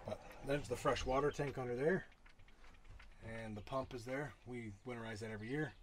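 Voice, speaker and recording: A man in his thirties with an ordinary mid-range voice chats his way through a boat tour in a matter-of-fact way. He has no mic of his own, so he sounds a little quiet and distant. The audio has clear background noise and clicks. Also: medium pitch, a little fast. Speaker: co-host giving a boat tour. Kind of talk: casual walkthrough vlog. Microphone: no mic of his own, picked up from a distance.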